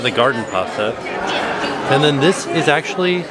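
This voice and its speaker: A man's voice: medium pitch, animated, normal speed. A man hosting a vlog, chatting upbeat about food on a camera mic in a busy hotel restaurant. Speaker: vlog host. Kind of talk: casual vlog narration. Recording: crowded room with piano music. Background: crowd chatter and piano music.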